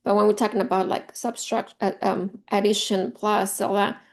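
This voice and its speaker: A woman's voice: medium pitch, flat delivery, moderate pace, gravelly. A woman in her forties, a native Spanish speaker with a Spanish accent, presenting informally to teachers as a trainer and repeating phrases for emphasis in a conversational way. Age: 40s. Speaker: teacher trainer leading a professional development session.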